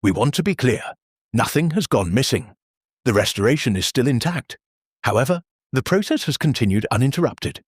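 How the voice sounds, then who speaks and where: An actor playing an earnest, formal church meeting chairman who speaks in a measured, bureaucratic deadpan. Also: medium pitch, husky, no echo, clean studio sound. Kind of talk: audio drama acting.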